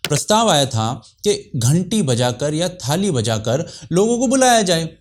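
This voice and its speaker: A man's voice: on the high side, darkish tone. A man presenting a news explainer in a scripted narration style.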